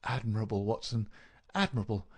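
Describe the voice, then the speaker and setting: A man voicing an ailing male detective in an audiobook, feeble but lucid, crisp and masterful. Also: lowish voice, steady tone, brisk. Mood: crisp, weak. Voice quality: feeble, slightly raised. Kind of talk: audiobook character voice.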